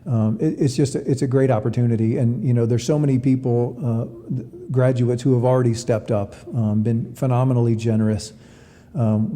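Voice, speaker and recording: A man's voice: mid-range voice, warm tone. A man giving conversational interview answers as an athletic director, often repeating words as he explains. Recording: long reverberant room sound.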